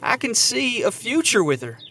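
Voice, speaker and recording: An earnest young suitor played by an actor in an audio drama. His voice sits high for a man and moves up and down freely, sheepish and devoted. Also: sing-song, moderate pace, sharp tone, breathy edge, full character voice.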